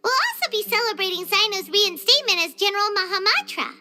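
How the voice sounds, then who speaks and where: A little childlike fairy sidekick in a video game. The voice is extremely high and squeaky, leaping around with curious, excitable chirpiness. Music runs clearly behind it. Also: female voice, quick pace. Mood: perky, curious.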